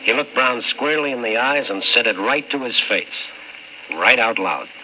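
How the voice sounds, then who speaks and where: A man's voice: medium pitch, animated, moderate pace, pushed and bright, slight background noise. A man playing a wry, skeptical storyteller in an old-time radio drama, with dry, world-weary delivery. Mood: wry narrating. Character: wry, skeptical male night-beat storyteller. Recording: dull old radio recording with music.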